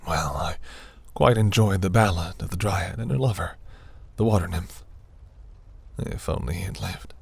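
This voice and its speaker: A man playing a seductive, imperious demon prince in a fantasy audio roleplay, speaking in a silky, commanding, breathy murmur. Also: moderate pace, a little mellow, no echo, full character voice. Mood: wistful, musing. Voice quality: low, gravelly, breathy.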